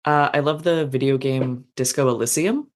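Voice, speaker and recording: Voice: mid-range voice, normal speed. An author guest in a casual podcast interview, talking enthusiastically and at length, with frequent ums and likes.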